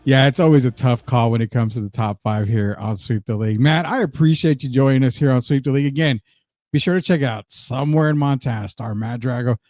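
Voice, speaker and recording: Mellow-voiced man hosting a casual interview show. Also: mid-range voice, fairly quick, no echo, faint music behind. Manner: informal, chatty. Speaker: host of an entertainment and sports show.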